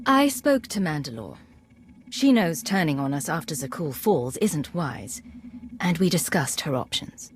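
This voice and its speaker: A woman playing a composed, assured agent and commander in a video game, speaking in a measured, decisive way. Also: low voice, very animated, a little hiss or hum.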